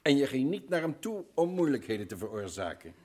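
An actor playing a shrewd, earnest old professor in a radio play, interrogating and growing excited. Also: male voice, medium pitch, fairly quick, breathy edge. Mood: probing. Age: older. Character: shrewd old male professor, eager sleuth.

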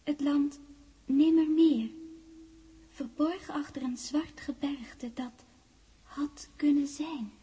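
Casting: dreamlike young woman of memory, high soft-toned voice, tender and teasing, vintage radio play. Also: steady tone, slow, long pauses. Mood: wistful, haunting. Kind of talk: 1960s radio drama acting.

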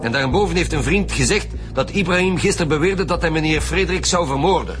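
A man's voice: on the high side, fast, music in the background, lightly acted. A man with a Flemish accent acting a brusque, insistent middle-aged detective chief in a naturalistic radio drama. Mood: pressing, sceptical. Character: brusque, pressing male police detective chief.

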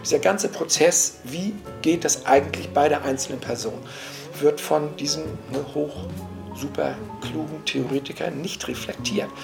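A man's voice: a little high, normal speed, gravelly, over music. A mature man speaking spontaneously and analytically as a cultural commentator, searching for words and often adding little tag questions. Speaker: cultural commentator and jazz enthusiast.

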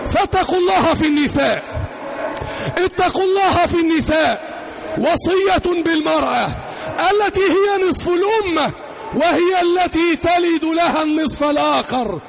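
A man delivering a religious sermon as loud, emphatic oratory, declaiming in short repeated phrases. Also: extremely high pitch, moderate pace, creaky. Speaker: preacher delivering an Eid sermon. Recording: dull lo-fi sound, faint background noise.

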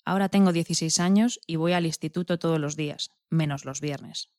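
A woman co-presenter reads from a script. Her voice sits a little low. The sound is close and dry, without room echo. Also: a little fast, a little mellow. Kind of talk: scripted reading.

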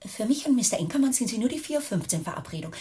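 An actress playing a flirtatious, self-important secretary in a German radio drama, coy and garrulous. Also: female voice, a little high, sing-song, fast talker, mellow. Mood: coy, chatty.

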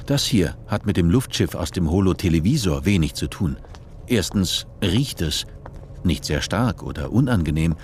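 An actor as the narrator of a dystopian radio play, reading scripted prose in a measured, deliberate way. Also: male voice, deep voice, a little mellow. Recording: noisy vehicle-like ambience behind.

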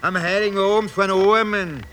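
A man's voice: high-pitched, brisk, pushed and bright, a little hiss or hum. A male actor playing a pushy, penniless homeless man in a theatrical, cajoling radio drama performance. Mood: wheedling, insistent. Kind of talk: 1990s German-language radio drama acting.

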